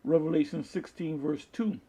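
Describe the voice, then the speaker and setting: A man preaching from the Bible, reading verses aloud and explaining them in a halting, deliberate manner, with a husky, gravelly voice. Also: a little high, warm tone. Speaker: street-style Bible preacher. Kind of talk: Bible teaching with scripture read aloud and commentary.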